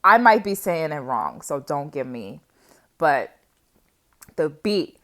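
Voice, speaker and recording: Low-voiced young woman chatting casually. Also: big pitch swings, takes it slowly. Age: 20s. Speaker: vlogger sharing personal reflections on history. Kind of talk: casual unscripted chat to viewers.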